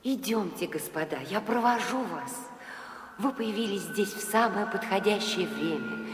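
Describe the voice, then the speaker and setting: A woman's voice: mid-range voice, expressive, very noisy, close to an everyday voice. Woman as a courteous owl guide, echoey. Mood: hushed guiding. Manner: measured, polite, confiding. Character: courteous, knowing female owl, enchanted guide. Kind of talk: audio fairy-tale drama acting. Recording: echoey reverb over loud music.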